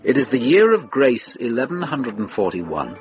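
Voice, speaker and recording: A man giving scripted, dramatic narration for a period radio play, in a gravelly voice. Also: mid-range voice, very animated, moderate pace, lo-fi sound, faint music behind.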